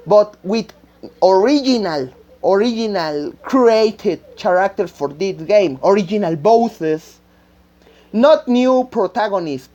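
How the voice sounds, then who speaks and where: Woman, native Spanish speaker, Spanish-speaking accent, rather low pitch, unscripted vlog rambling, halting and repetitive, muffled lo-fi microphone. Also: dark tone. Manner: halting, searching for words, repeating phrases. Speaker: amateur game creator. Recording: dull lo-fi microphone sound.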